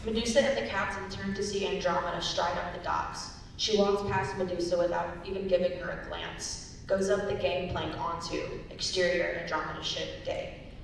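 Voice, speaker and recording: A woman's voice: fairly even intonation, moderate pace, creaky. A young woman reading stage directions as scripted narration at a dramatic reading. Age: young adult. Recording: very echoey hall, distant mic, hiss and rumble.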